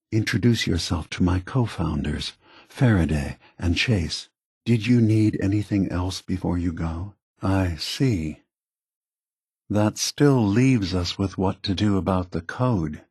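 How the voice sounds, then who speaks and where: An actor playing a calm, grave synthetic man, a weary scheming leader, with a husky, measured, deliberate delivery for a video game. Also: low voice, no room sound, studio quality, full character voice. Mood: calm, welcoming, then pensive.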